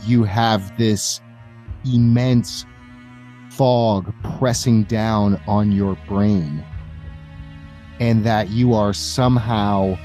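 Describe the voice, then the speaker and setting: A man with a low, dark and mellow voice runs a tabletop game as game master. He narrates slowly and deliberately, with faint music playing behind him. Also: steady tone.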